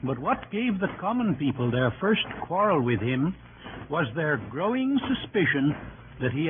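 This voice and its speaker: A man's voice: a little high, background noise. A man narrating a vintage radio drama from a script, with formal, dramatic phrasing. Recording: tinny old radio broadcast, music behind.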